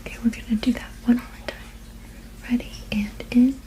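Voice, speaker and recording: A woman whispering gently and reassuringly in an ASMR roleplay as a soothing witch healer, with a breathy, unvoiced voice. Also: on the high side, steady tone, brisk. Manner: gentle, soothing, reassuring, repeating soft words. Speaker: ASMR performer.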